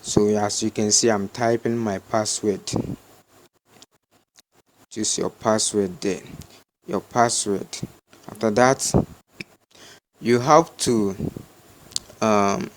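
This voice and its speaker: Male tutorial presenter, Ghanaian accent, slow and repetitive delivery, slightly noisy home recording. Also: on the low side. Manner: repetitive, halting instruction. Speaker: tutorial host. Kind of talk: step-by-step screen tutorial, unscripted.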